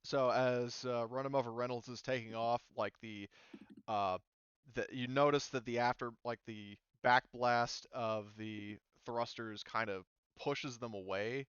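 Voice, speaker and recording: A man acting as game master, casually refereeing a tabletop game and thinking aloud in a halting way. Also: medium pitch, steady tone, a bit bright, clean tone, no room sound, muffled recording.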